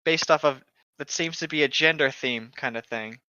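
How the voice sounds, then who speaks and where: A man's voice: on the high side, moderate pace, pushed and bright, lo-fi sound, slight background noise. A man in casual banter, reading aloud and reacting with joking, mock-exasperated sarcasm. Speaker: co-host reacting to online horror fiction.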